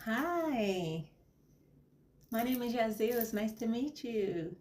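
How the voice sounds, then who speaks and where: A woman voicing a brash, defensive young mother in therapy who talks loud and fast and pushes back on every question. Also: on the high side, animated, slight background noise. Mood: cocky. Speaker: therapy client. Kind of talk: comedy sketch acting.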